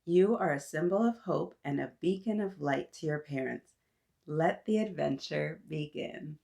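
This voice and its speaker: A woman's voice: deep voice, measured pace, vocal fry, dark tone. A woman hosting a read-aloud for young children, talking to her little listeners in an encouraging, teacher-like way, with questions and praise. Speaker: children's story-time host.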